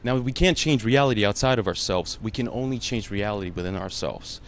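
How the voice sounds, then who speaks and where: A man's voice: fairly low pitch, expressive, a little fast. A man, an actor whose first language is Russian, answering a panel interviewer in an earnest, emotional way, full of "you know" fillers.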